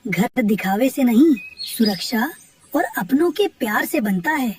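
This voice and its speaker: A woman's voice: on the high side, animated, unhurried, voice pushed down. Grave elder owl sage for animation, woman performing, moralizing, faint noise, distant placement. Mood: solemn. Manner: solemn, moralizing.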